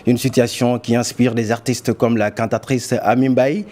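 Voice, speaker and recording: A man's voice: medium pitch, little pitch movement, moderate pace, a little mellow. A man presenting news reports in a semi-scripted broadcast style. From Senegal.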